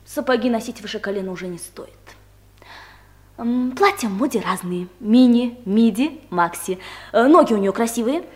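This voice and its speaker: An actress playing a brisk, self-assured young secretary who knows fashion, in a 1970s radio comedy. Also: female voice, fairly high pitch, animated, close to an everyday voice. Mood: confident, lecturing. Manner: instructive, emphatic, self-assured.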